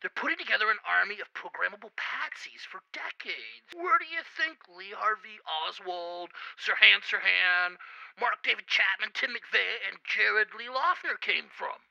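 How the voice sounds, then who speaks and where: A man's voice: high voice, normal speed, vocal fry, bright, forceful tone, no echo, dull audio, full character voice. A man playing an earnest, defiant conspiracy believer calling a radio show, insistent and indignant. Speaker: caller. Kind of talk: radio play acting as caller.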